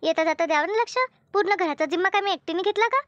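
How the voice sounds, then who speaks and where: Woman acting a very shrill village mother, nagging. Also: quick pace, no room sound, dull audio. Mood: exasperated. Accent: rural Maharashtra Marathi. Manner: nagging, full of rhetorical questions. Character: exasperated village mother scolding her household. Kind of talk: amateur Marathi cartoon dubbing.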